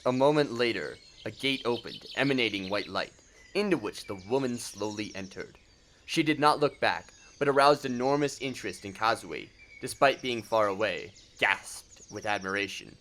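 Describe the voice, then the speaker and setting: A man's voice: lowish voice, normal speed, fairly bright tone, slight background noise. A man reading scripted story narration for an audio drama.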